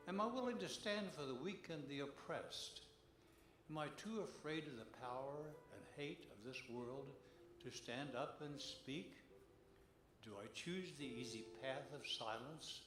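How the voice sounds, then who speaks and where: A man giving an earnest, solemn dramatic devotional reading in a deliberate way, full of rhetorical questions. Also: on the high side, fairly bright tone. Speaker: reader at a church Good Friday service. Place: large church sanctuary. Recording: echoey church, music behind.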